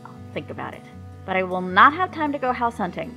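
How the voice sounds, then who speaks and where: A woman voicing a young, pregnant mermaid princess, proud and stubborn, whose talk runs emotional and quick to flare up. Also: on the high side, animated, bright, forceful tone, faint music behind, pitched above the performer's own voice.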